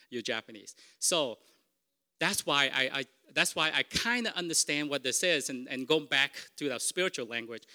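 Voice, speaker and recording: Bright-voiced man preaching casually. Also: on the high side. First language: Vietnamese. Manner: conversational, self-correcting, asks the congregation "right?". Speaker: pastor. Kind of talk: informal sermon teaching.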